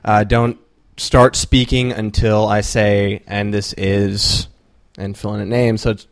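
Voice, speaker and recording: A man hosting a podcast in a small room, calmly giving practical instructions about mic distance. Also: on the low side, slow pace. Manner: practical, gives mic directions. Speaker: podcast host. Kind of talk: casual chat and instructions.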